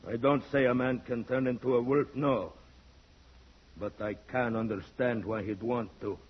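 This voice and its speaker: A man's voice: mid-range voice, fairly even intonation, normal speed, slightly rough, lightly acted. An actor playing a bitter, defiant young village man in an old-time radio drama, speaking with brooding intensity. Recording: muffled old radio recording.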